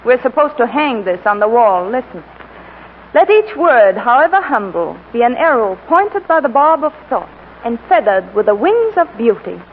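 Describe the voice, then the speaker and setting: A woman's voice: a little high, very animated, moderate pace, slight background noise. An actress playing a female copywriter in 1940s radio drama, with an arch, theatrical delivery. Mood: sardonic. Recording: tinny old radio recording, music behind.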